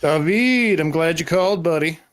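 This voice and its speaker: A man's voice: a little high, lively intonation, moderate pace, fairly bright tone, voice pushed up. A man playing a sleazy, chummy party recruiter, casual and slangy, in an improvised tabletop roleplay. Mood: chummy, bargaining.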